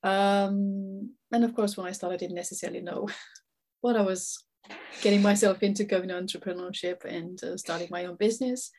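A woman from Romania speaks with a husky, slightly breathy voice. She is a guest on a podcast, a coach who talks earnestly, often repeating words as she gathers her thoughts. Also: medium pitch, fairly even intonation, moderate pace, darkish tone. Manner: earnest, repeats words while searching for them. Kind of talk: podcast interview, personal story.

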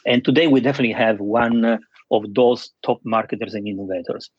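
A man hosting a webinar interview, speaking in a structured way with frequent pauses. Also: mid-range voice, no echo, lo-fi sound. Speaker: host.

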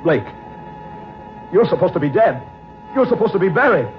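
An actor playing a genteel gentleman haunted by a rival, in a theatrical 1940s radio manner. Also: high voice, sing-song, normal speed, soft background music. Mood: startled, nervous. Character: suave gentleman turning frantic, haunted by a rival. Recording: muffled, hissy old radio recording.

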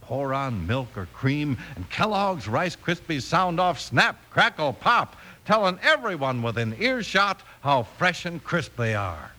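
A man's voice: on the high side, sing-song, unhurried, few pauses, bright, forceful tone. A man announcing on a 1950s radio show with scripted advertising and narration.

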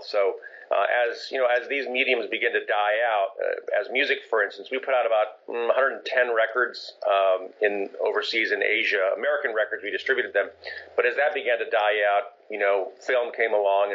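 A middle-aged man who grew up in Japan answers questions thoughtfully and often stops to correct himself. His voice sits low. The recording sounds dull and lo-fi. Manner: thoughtful, many fillers and self-corrections. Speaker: film producer, guest. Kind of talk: interview answers, storytelling. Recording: dull lo-fi audio.

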